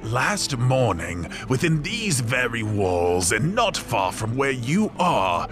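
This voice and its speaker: An actor playing a folksy, talkative tavern keeper in a fantasy game, using old-timey turns of phrase. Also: male voice, medium pitch, very animated, slow. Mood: genial, storytelling. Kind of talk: animated comedic fantasy voice acting. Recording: music behind the voice.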